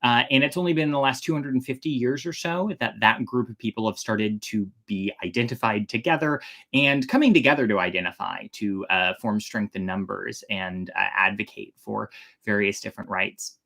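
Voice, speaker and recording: Storytelling host, medium pitch, informal and chatty, explaining from notes, hedging phrasing, talking directly to viewers. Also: moderate pace, gravelly, fairly bright tone. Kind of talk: casual explanatory chat to viewers, reading from notes.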